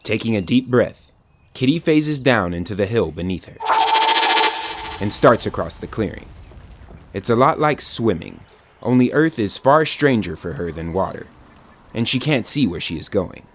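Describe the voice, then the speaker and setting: A man's voice: on the low side, lively intonation, normal speed, slight background noise, close to an everyday voice. A man narrating superhero action in an audio drama, in a scripted dramatic storytelling style. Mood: dramatic narration.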